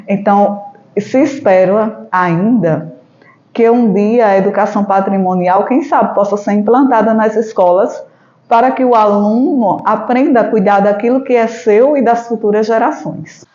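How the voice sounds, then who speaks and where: Woman with mellow voice in echoey room. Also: mid-range voice, normal speed. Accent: Northeastern Brazilian. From Juarez Távora, Paraíba, Brazil. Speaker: teacher and heritage education researcher. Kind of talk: documentary interview, informal explanation. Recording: echoey room, dull lo-fi sound.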